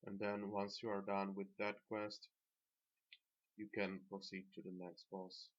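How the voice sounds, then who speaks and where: A man who is not a native English speaker, narrating an instructional video game guide in a measured, explanatory way. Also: fairly low pitch, completely flat delivery. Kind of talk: instructional gameplay commentary. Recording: dull, lo-fi microphone sound.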